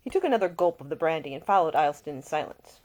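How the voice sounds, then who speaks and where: A woman reading her own fiction aloud as scripted audiobook narration, with an expressive storytelling delivery. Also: sits low, fast. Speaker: author reading own novel.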